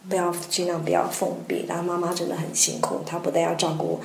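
A woman's voice: fairly low pitch, level tone, quick pace, vocal fry. A woman hosting a conversational radio interview, speaking in a reflective, empathetic manner. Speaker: radio program host. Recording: roomy echo, faint background noise.

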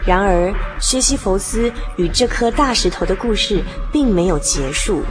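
Lady storyteller, mid pitch, dramatic scripted narration w music under. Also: animated, moderate pace. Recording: music behind.

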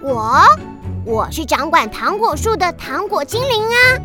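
Bossy candy fairy, very high and wildly animated, huffy scolding delivery for children's radio drama. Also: female voice, measured pace, over music, heavily acted. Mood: proud, stern. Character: bossy, grumpy little candy-tree fairy.

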